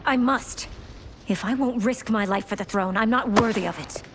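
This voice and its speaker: An actress playing a defiant, proud young princess, fierce and duty-bound, in a video game cutscene. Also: female voice, on the high side, moderate pace, heavy background noise, close to an everyday voice. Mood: determined. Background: music.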